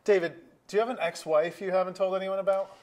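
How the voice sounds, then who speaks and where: An actor plays a middle-aged man, the teasing friend in a stage comedy. His voice is high for a man. He questions people with amused disbelief, in a play-reading style. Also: steady tone, fast talker, darkish tone, lightly acted. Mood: amused, incredulous. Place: theatre auditorium.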